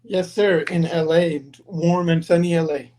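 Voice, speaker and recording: A man's voice: on the high side, steady tone, a little slow, warm tone. A man in his thirties, born in Pakistan and raised in Los Angeles, answering interview questions over a video call in a casual, friendly storytelling way. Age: 30s. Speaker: guest, perfume brand founder.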